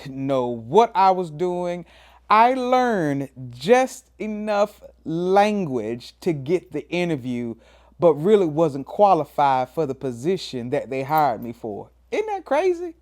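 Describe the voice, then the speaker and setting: Emphatic fifty-year-old preacher man. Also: a little mellow. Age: 50s. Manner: preacherly, emphatic, swings pitch up and down for emphasis, says "man" often. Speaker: pastor and show host. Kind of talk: motivational talk.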